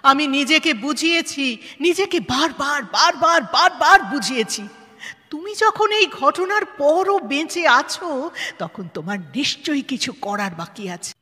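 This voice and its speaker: Earnest woman survivor, high bright voice, emphatic audio-drama delivery by an actress, long reverb. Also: lively intonation, measured pace, exaggerated voice. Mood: impassioned. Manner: dramatic, emphatic, repeats words for weight. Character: earnest, resilient woman NGO head who survived tragedy. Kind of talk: Bengali audio drama acting. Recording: long hall reverb, music underneath.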